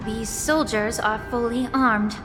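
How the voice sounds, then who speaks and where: An actress playing a poised, guarded and analytical woman official in a video game, speaking formally and deliberately. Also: on the high side, steady tone. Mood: analytical, suspicious. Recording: long reverb under background music.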